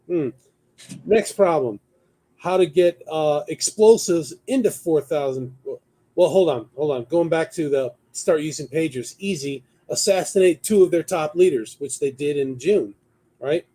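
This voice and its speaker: A man's voice: a little high, unhurried, warm tone. A man hosting a talk show, giving conversational news commentary partly read from notes, thinking aloud as he goes.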